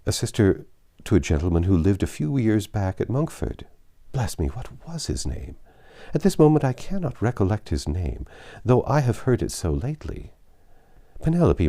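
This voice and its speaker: A man's voice: lowish voice, big pitch swings, normal speed, dark tone. A man voicing a chatty, flustered, deferential older lawyer who rambles eagerly in a classic novel reading. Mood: flustered, searching. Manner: rambling, eager, self-interrupting.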